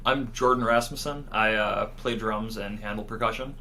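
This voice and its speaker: Bright, forceful low-voiced man. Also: fairly even intonation, normal speed. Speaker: band drummer being interviewed. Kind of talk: casual interview chat. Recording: faint hum and noise.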